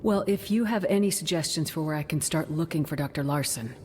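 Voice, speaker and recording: Actress as low-voiced, composed, determined woman. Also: fairly quick, a little mellow, slight background noise, close to an everyday voice. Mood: polite, purposeful. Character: composed, determined woman searching for a missing doctor. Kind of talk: cinematic video game drama acting.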